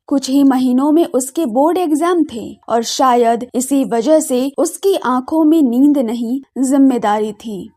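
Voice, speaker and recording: A woman's voice: high-pitched, dark tone. A woman narrating an audio drama in a scripted storytelling style.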